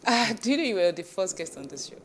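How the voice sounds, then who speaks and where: A woman's voice: mid-range voice, big pitch swings, fast talker. A woman hosting a TV lifestyle talk show in a studio, formal and gracious as she introduces her guest and topics. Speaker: host.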